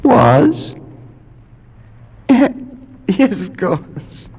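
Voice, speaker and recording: An actor playing a grief-crazed, morbid gentleman, the last of his line, in theatrical, tremulous 1940s radio horror style. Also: high-pitched, huge pitch swings, drawn-out words, slightly rough, full character voice. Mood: feverish, dreamy. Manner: theatrical, tremulous, swings from whispering to anguished outbursts. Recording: dull lo-fi 1940s radio recording.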